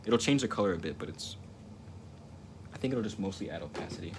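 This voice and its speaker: A man's voice: deep voice, lively intonation, quick pace. A young man with a stutter chatting casually on a livestream while he paints, rambling and reflective, repeating words and restarting sentences. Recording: noticeable ambient noise.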